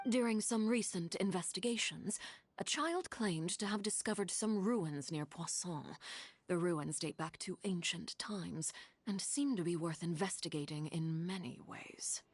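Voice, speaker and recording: Actress as a poised powerful woman commander, slightly low voice, formal, deliberate, video game dialogue over music. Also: natural voice. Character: composed, formidable female commander of a powerful organization.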